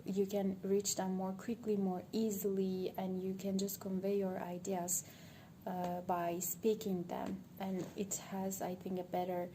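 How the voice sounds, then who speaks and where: Turkish woman rambling slowly thru an idea, lots of pauses, pretty monotone, room noise behind. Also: mid-range voice. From Turkey. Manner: hesitant, thinking aloud with frequent pauses. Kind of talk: unscripted spoken monologue for social media. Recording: background ambient noise.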